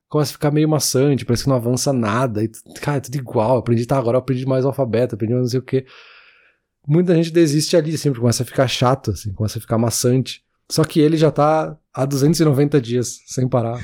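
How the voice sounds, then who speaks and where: A man, co-hosting a podcast in relaxed casual chat, full of fillers. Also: medium pitch. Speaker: podcast co-host.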